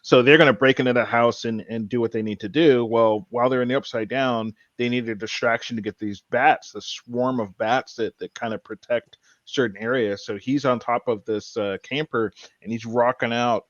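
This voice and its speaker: A man co-hosting a podcast, casually recounting a show in an earnest, halting way. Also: mid-range voice, fairly even intonation, dry recording, lo-fi sound. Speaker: podcast co-host.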